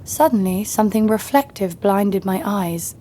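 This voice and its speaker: A woman's voice: medium pitch, expressive, unhurried, lightly acted. Woman acting a wry, tough bounty hunter, faint noise. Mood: tense narration. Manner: wry, world-weary. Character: Essa, tough sardonic young female bounty hunter. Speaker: narrator and lead. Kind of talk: first-person audio drama narration.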